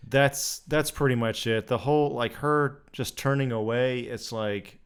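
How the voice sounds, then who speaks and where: A man's voice: mid-range voice, a little slow, clear, resonant tone. A man co-hosting a casual podcast with laid-back, wry reactions. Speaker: podcast co-host. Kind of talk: casual chat.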